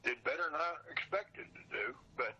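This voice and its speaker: An older retired man with a raspy, husky voice chatting casually as an interview guest, plain-spoken and repeating phrases for emphasis. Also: fairly high pitch, animated, moderate pace, bright, forceful tone, highs cut off, a little hiss or hum. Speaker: podcast guest, seller of old radio show recordings.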